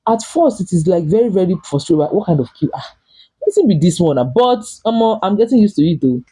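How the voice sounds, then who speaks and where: A man's voice: sits high, big pitch swings, fairly quick, dark tone, husky. A man from Nigeria with a Nigerian English accent, chatting casually and emphatically in a vlog, often repeating and restarting his phrases. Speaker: vlogger living abroad.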